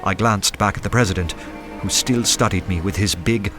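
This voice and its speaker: Detective narrator male actor: low voice, measured and uneasy, first-person radio drama, clear music bed. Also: lightly acted. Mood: fearful dread. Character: anxious undercover detective man narrating his dread.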